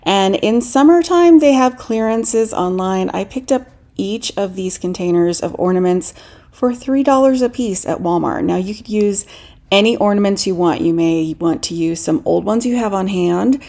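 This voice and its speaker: A woman's voice: medium pitch, normal speed, dark tone. A middle-aged woman narrating a do-it-yourself decorating tutorial as a voice-over, explaining each step in a friendly, instructive way. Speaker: DIY craft channel host.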